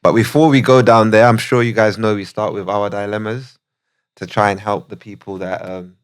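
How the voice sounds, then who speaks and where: A man's voice: fairly low pitch, moderate pace, clean tone. A man hosting a podcast, chatting casually and joking, then reading out a listener's letter. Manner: relaxed, jokey, laughs easily. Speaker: podcast host.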